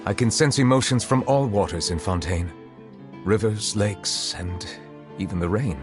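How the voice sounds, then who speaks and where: A man voicing a solemn chief judge who is secretly a dragon, speaking in a formal, grave and measured way in a video game. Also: lowish voice, natural voice. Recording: game audio with music behind.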